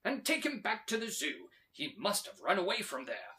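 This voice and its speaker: A man's voice: sits high, wildly swooping pitch, moderate pace, pushed and bright, heavily acted. A man voicing a commanding male professor, a museum director, in an emphatic, authoritative storybook manner. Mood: angry.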